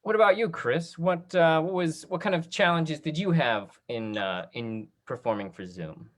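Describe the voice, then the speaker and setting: A man's voice: fairly high pitch, normal speed. A man hosting a casual interview on a video call, affirming and summing up what he hears. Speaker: host of a talk back discussion.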